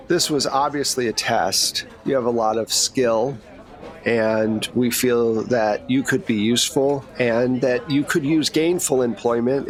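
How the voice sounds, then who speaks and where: A man's voice: mid-range voice, lightly acted. A man playing a brash, cigar-smoking woman agent in a tabletop game, talking bluntly and casually.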